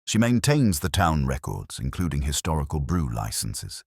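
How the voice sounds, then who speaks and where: Deep husky male mystery narrator. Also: sing-song, no room sound, studio quality. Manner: measured, storytelling.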